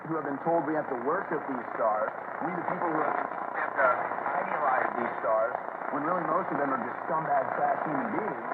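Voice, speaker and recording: An American man hosting political talk radio, delivering a combative monologue with rhetorical questions and emphatic repetition, heard over a noisy shortwave broadcast. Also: fairly high pitch. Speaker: talk show host. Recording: noisy shortwave radio, narrow phone-like band.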